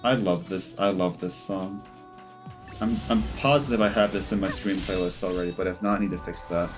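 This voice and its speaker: A man doing casual live game commentary, thinking aloud in a halting, self-correcting way as he talks to his chat. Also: sits low, steady tone. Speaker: game streamer. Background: game music.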